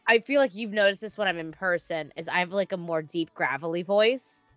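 A woman around thirty co-hosting a casual podcast, chatty and playfully indignant with quick reactions. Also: lowish voice, fairly bright tone, no echo, highs cut off, faint music behind. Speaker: podcast co-host.

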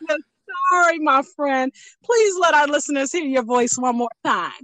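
A woman with a high-pitched voice hosts an interview in a conversational style. The recording is dry and free of room echo. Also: moderate pace, fairly bright tone. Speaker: podcast host.

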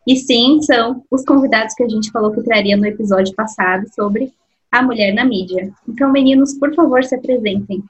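A woman's voice: on the high side, brisk, slightly rough, lo-fi sound. A woman hosting a podcast, chatty and friendly, introducing guests and asking questions. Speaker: podcast host.

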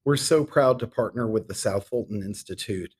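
A man's voice: fairly low pitch, normal speed, breathy edge. A middle-aged man speaking as a theatre director being interviewed on a podcast, articulate and enthusiastic, with ornate turns of phrase.

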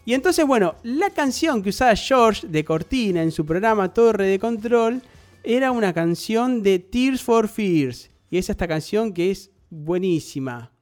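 Argentine male radio host, rambling and chatty, fairly high pitch, soft music bed. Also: animated, a little slow. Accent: Argentine Rioplatense. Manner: chatty, rambling, peppered with tag questions. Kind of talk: nostalgic storytelling on air.

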